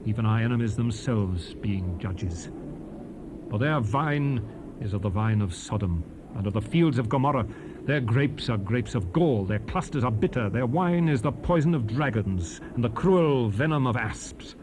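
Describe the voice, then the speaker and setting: A man's voice: medium pitch, lightly acted. Man acting a solemn prophet on hissy cassette. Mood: lamenting, stern. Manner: solemn, emphatic, oratorical. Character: Moses, aged Hebrew prophet, stern and fervent. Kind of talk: dramatized King James scripture declamation. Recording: noisy 1970s cassette rip with hiss.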